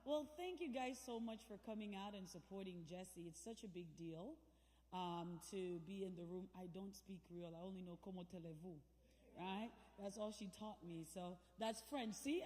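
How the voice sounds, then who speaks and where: A woman's voice: mid-range voice, normal speed, darkish tone. A woman from Jamaica, giving a motivational talk as a guest speaker and drawing in the crowd with questions. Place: large church hall. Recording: long reverb of a big church hall.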